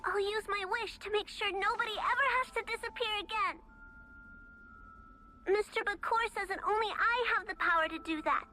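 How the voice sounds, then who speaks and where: A woman's voice: very high-pitched, bright, forceful tone, exaggerated voice. A woman voicing an earnest, selfless little girl in a video game, childlike, sincere and pleading. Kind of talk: video game cutscene acting. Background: faint background music.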